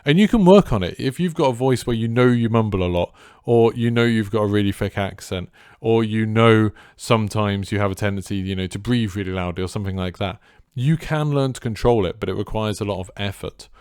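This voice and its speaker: Casting: man, deep clear voice, easygoing delivery, casual creator advice, very dry sound. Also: a little fast, a little mellow, no room sound. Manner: chilled, laid back. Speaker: streamer and content creator giving advice. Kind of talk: casual advice talk to viewers.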